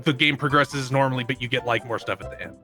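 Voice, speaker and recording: A man co-hosting a casual gaming podcast, talking in a halting way and restarting his phrases. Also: mid-range voice, sharp tone, soft background music.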